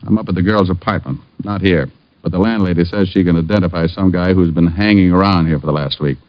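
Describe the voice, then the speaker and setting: A man's voice: low-pitched, steady tone, fast, natural voice. A man playing a wisecracking, sardonic private detective in an old-time radio drama, dry and flippant. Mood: casual, probing. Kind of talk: 1940s-50s radio detective acting and first-person narration. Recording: muffled old radio recording.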